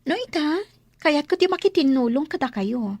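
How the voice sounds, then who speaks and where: A woman's voice: high voice, very animated, normal speed, a little mellow, no echo, studio quality. An actress with an Ilocano accent playing an eager, supportive young woman in a radio drama. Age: young adult.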